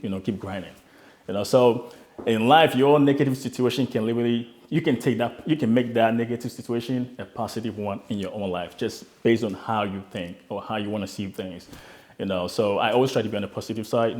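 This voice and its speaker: A man's voice: lowish voice, darkish tone. A young man, earnestly telling his own immigrant story in an interview, often repeating words as he restarts. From Ghana. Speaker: interviewee, immigrant start-up founder. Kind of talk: reflective personal story.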